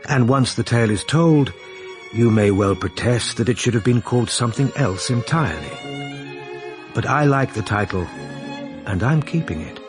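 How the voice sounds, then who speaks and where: A man narrating a period radio drama in a wry, confiding, measured way. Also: medium pitch, expressive, over music. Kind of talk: scripted storytelling narration.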